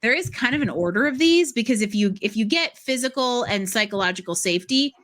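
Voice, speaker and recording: A woman hosting a conversational podcast interview, explaining things in an animated, casual way. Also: a little high, fairly quick. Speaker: podcast host interviewing a guest.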